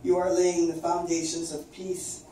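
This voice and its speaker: An older woman from coastal British Columbia reading her own poems aloud in a slow, measured recitation at an open mic in a small venue. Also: lowish voice, little pitch movement, warm tone. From coastal British Columbia, Canada. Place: small dim performance venue, indoors. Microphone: far camera. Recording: very echoey room, distant, faint noise.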